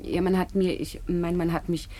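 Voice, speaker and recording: A woman's voice: fairly low pitch, level tone, moderate pace, mellow, close to an everyday voice. A young woman playing a naive, plucky poor girl in a radio play, speaking colloquially and earnestly. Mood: hesitant, earnest. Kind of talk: naturalistic radio drama dialogue.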